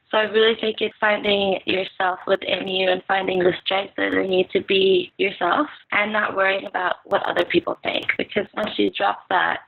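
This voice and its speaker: Young Filipino-born woman, podcast guest, hesitant and halting, phone-quality audio. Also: medium pitch, creaky. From Philippines. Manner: soft-spoken, hesitant with many fillers and restarts. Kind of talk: casual interview answers. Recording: tinny phone-line sound.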